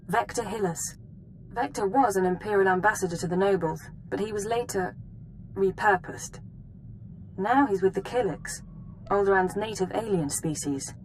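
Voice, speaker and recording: An actress plays a sci-fi intelligence officer with a mid-pitched voice over faint noise. She is composed and precise, briefing in formal, businesslike phrases for a game cutscene. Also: close to an everyday voice, poor audio. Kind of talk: video game voice acting.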